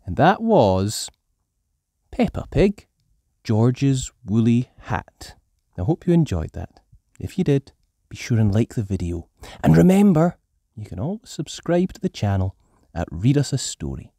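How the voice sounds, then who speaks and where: A storyteller hosting and narrating a children's story in an animated style. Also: medium pitch, a little slow, dry recording, clean studio sound. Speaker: storyteller and channel host.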